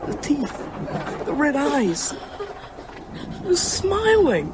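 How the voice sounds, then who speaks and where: A man's voice: extremely high pitch, huge pitch swings, slow, background noise, full character voice. A young male actor playing a traumatised but defiant boy, with earnest, haunted delivery in a radio horror drama. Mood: shaken, haunted.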